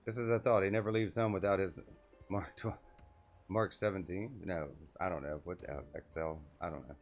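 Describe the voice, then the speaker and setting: A man's voice: sits low, fairly even intonation, normal speed. A man streaming a video game, reading quest text aloud and adding casual asides. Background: faint game music.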